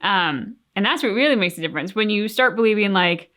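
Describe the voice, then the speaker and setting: A woman's voice: medium pitch, animated, a little fast, a bit bright. Earnest woman co-hosting a reaction chat. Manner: thoughtful, earnest, talks in long reflective runs. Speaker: reaction channel co-host.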